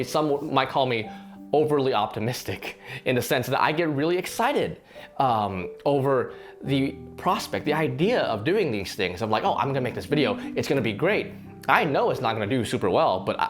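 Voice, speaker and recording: A slightly hoarse man talks to camera with an animated, lively pitch that swings up and down. He sounds earnest and a bit rambling, restarting his sentences often. A faint guitar plays softly underneath. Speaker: fitness channel host. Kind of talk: casual talking-to-camera reflection.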